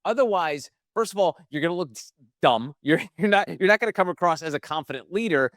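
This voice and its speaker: A man hosting a podcast asks questions and chats in a relaxed, conversational way, with frequent fillers. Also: on the high side, fast talker, no echo, studio quality. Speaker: podcast host. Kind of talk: casual interview chat.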